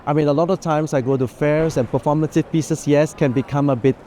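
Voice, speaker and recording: Earnest man with a mellow voice. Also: fairly high pitch, fairly even intonation, brisk. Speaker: art collector in conversation. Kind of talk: thoughtful spontaneous discussion. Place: indoor art fair, walking among stands. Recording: faint busy fair hall noise.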